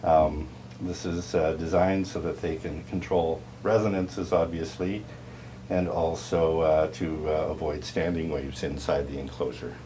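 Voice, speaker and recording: A man with a low voice walks through technical product details in an informal demonstration. His delivery is halting and explanatory, with clear noise behind him. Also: level tone, warm tone. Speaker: audio dealer and turntable restorer giving a product tour.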